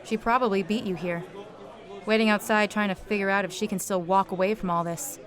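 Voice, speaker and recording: An actress playing a hard-drinking, world-weary private eye in a noir audio drama, terse and wry. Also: female voice, mid-range voice, steady tone, brisk, some chatter behind, close to an everyday voice. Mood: hard, commanding.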